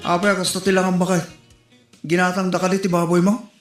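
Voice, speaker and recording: In a roomy space with music underneath, a man plays an older Ilocano father in a comedy drama. His voice sits high, and he talks in a colloquial, teasing, chiding way. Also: flat delivery, fast, lightly acted. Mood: concerned, probing. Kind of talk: comedy audio drama dialogue.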